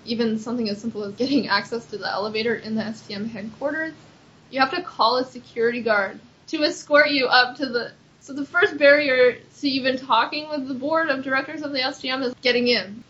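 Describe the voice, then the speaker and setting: A young woman talks through her activism in a casual, earnest way. Her voice sits a touch high and her speech is loose and full of "like." The recording sounds a little dull and lo-fi. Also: a bit bright. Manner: earnest, chatty, frequent "like" fillers. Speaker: accessibility activist. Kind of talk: casual interview answers.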